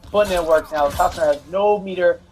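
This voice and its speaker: A man calls a fighting game tournament in a loose, joking way, trading quips with his co-caster. His voice sits high for a man. Faint music plays underneath. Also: brisk, a little mellow. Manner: hype, loose, jokes with co-caster. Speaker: esports commentator. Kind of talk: live play-by-play commentary.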